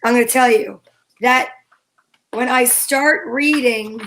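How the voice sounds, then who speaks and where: A woman's voice: on the high side, slow pace, fairly bright tone, slight background noise, poor audio. A woman co-hosting a livestream in casual, chatty banter. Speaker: co-host of a livestream show.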